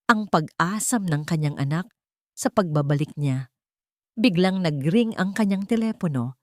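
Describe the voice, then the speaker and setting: Woman narrating drama with lively, swooping pitch. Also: no echo, clean studio sound. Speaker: story narrator. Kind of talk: scripted dramatic narration of a romance drama story.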